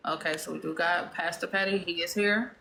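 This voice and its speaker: A woman moderates a live-streamed panel. Her voice is bright and forward, and she calls speakers up one at a time in a steady, organizing way. A faint hiss of noise sits under the audio. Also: on the low side, brisk, gravelly, low-quality recording.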